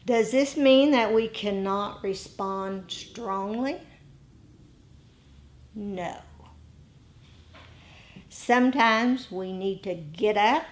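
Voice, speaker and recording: Woman meditation teacher, unhurried pace, mid-range voice, addressing a group in short, deliberate phrases. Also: medium pitch. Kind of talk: dharma talk to a group.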